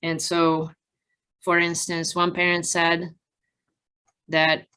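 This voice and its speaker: A woman researcher giving an academic presentation from slides in a measured, halting, explanatory way with frequent pauses. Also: fairly low pitch, monotone, pushed and bright, clear, resonant tone. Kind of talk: conference research presentation, partly improvised from slides.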